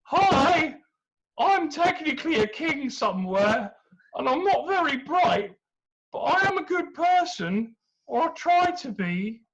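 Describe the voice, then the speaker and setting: A man voicing a dim, cheerful young male hero who talks in an eager, excitable way, on a dull lo-fi recording. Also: very high-pitched, slow, voice pushed up, heavily acted. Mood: sheepish.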